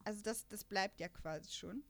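A woman's voice: mid-range voice, moderate pace, gravelly. A young adult woman hosting a casual podcast, chatty and explaining at length with many fillers. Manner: chatty, many fillers, laughs between points. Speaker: podcast host. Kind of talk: casual podcast chat about an audio drama.

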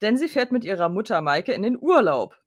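A woman's voice: medium pitch, big pitch swings, a little fast, no echo, clean studio sound. A woman co-hosting a podcast, giving a casual recap and chatting informally. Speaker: podcast co-host.

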